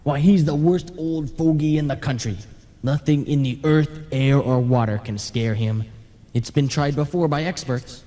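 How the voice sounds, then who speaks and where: A man's voice: fairly high pitch, moderate pace, warm tone, natural voice. A man playing a grumpy, fed-up ghost in an old-time radio play, grumbling in a sardonic, put-upon way. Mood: irritated, defiant. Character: grumpy, fed-up male ghost tired of haunting. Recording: long reverb, analogue radio broadcast tape.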